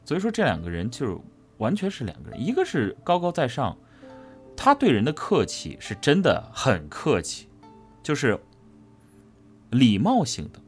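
Animated man explaining, emphatic delivery. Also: mid-range voice, moderate pace, soft background music. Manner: emphatic, repeats phrases for stress. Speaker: voice actor interviewed about his character. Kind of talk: casual explanatory interview answer.

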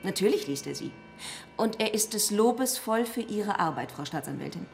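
An actress playing an officious, smoothly polite ministry inspector in a German radio drama, speaking precisely. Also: female voice, medium pitch, animated, normal speed, a little mellow, soft background music.

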